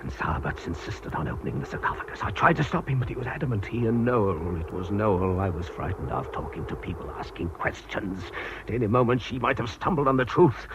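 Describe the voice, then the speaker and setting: Intense, menacing schemer, swooping delivery. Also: male voice, fairly low pitch, sing-song, fairly quick. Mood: agitated, brooding. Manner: taunting, theatrical, intense. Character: ruthless desperate man hiding a false identity, menacing. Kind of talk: radio drama acting. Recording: dull, noisy radio drama recording.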